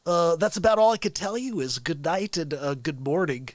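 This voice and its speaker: A man from the United States hosting an unscripted live stream, rambling excitedly, chuckling and greeting viewers by name. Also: on the high side, normal speed, dry recording. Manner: hypes the show with catchphrases. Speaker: livestream show host.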